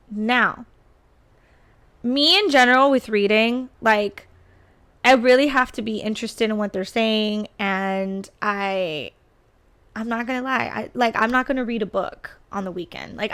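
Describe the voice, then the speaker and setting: A woman's voice: fairly high pitch, fairly bright tone, smooth. A young adult woman chatting casually about her test experience, often saying "girl" and "like". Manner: chatty, says "girl" and "like" a lot. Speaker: teacher candidate sharing test experience.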